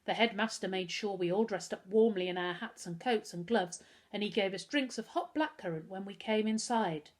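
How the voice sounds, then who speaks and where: A woman voicing a clever young schoolgirl who speaks calmly and evenly. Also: mid-range voice, normal speed, lightly acted. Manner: calm and even.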